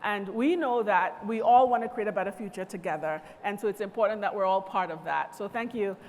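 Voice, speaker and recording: A woman from Trinidad and Tobago giving an encouraging keynote speech to students at a conference, speaking in a measured way. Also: mid-range voice, a little mellow. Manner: measured, encouraging, lists things slowly. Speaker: conference speaker from a power company. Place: large convention hall. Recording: long reverb of a large hall.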